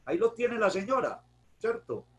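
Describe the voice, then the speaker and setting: A man's voice: high-pitched, very animated, normal speed. A man with a paisa accent from Antioquia giving a conversational history lecture online, often adding tag questions and correcting himself. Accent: Paisa Colombian Spanish. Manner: conversational, warm greetings, frequent tag questions, self-corrections. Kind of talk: virtual history talk to an online audience.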